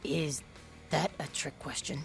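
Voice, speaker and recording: Gravelly, jaded diner waitress. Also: female voice, low-pitched, fairly even intonation, soft background music. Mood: sarcastic. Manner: dry, sardonic, unhurried. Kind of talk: video game voice acting.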